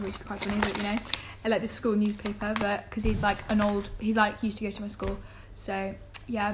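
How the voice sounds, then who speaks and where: A teenage girl from England chatting casually in a vlog, her voice croaky from illness, rambling with lots of fillers, filmed at home on her phone and then her camera. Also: mid-range voice, noisy background. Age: teens. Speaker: vlogger. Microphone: handheld phone, then camera.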